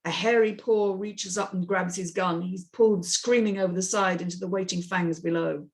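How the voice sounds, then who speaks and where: A woman's voice: on the low side, fairly even intonation, normal speed. A woman narrating the action lines of a screenplay as host of a script reading.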